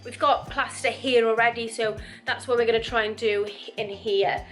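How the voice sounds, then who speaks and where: A woman vlogging casually about renovating her living room, chatty and rambling, her voice croaky from dust. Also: fairly high pitch, fairly even intonation, moderate pace, a bit bright, faint music behind. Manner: chatty, rambling, laughs often. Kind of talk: casual chatty vlog to camera.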